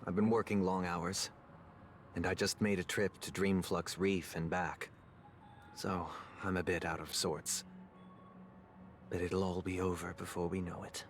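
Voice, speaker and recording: A man voice actor playing a solemn, troubled, devout young gentleman in a video game, measured and weary. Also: sits low, flat delivery, a little hiss or hum. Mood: weary, composed. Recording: long hall reverb, loud music behind. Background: game music.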